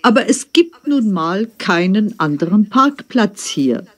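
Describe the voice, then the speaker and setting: A woman voicing a hurried driver who pleads and coaxes. Her intonation swings widely, yet her pace stays slow. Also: mid-range voice, big pitch swings. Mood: pleading. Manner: pleading, coaxing. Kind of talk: scripted textbook dialogue.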